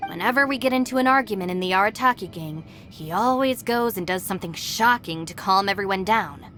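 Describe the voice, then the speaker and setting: A woman's voice: mid-range voice, animated, brisk, a bit bright, faint music behind, natural voice. A woman as a long-suffering young gang deputy. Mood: resigned. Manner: matter-of-fact, long-suffering. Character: weary, sensible young female gang deputy. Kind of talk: game voice acting.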